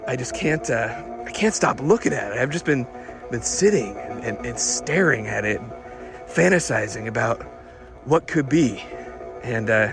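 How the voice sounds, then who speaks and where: A man playing an excitable, obsessive car lover who records a rambling, stammering, eager audio diary. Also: medium pitch. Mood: giddy, awed. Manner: halting, stammering, breathlessly eager.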